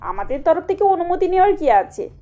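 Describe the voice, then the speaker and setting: Woman acting a pushy high-voiced mother-in-law. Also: big pitch swings, brisk, warm tone, muffled recording. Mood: sweetly demanding. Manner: breezy, insistent, polite demands. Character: pushy, self-important middle-aged mother-in-law, falsely sweet.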